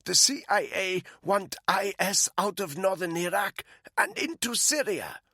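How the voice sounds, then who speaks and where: A man voicing a captive woman spy who croaks and gasps for air as she is strangled. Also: medium pitch, big pitch swings, unhurried, sharp tone, no echo, clean studio sound, voice pushed up, exaggerated voice. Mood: strained, fearful. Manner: croaking, strained pleading. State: choking, gasping.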